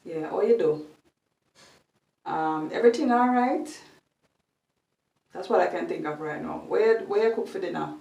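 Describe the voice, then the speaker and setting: Slow gravelly jamaican woman, echoey room. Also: on the low side, lo-fi sound. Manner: slow, warm-hearted, thinks aloud with many ums. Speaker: vlogger. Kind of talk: casual vlog chat. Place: room at home. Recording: echoey room, voice a bit far from the mic.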